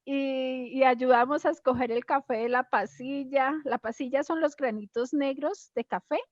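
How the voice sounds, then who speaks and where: High-voiced Colombian woman, slightly muffled. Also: fairly even intonation, normal speed, no echo. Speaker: interviewee sharing childhood memories. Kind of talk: casual reminiscing.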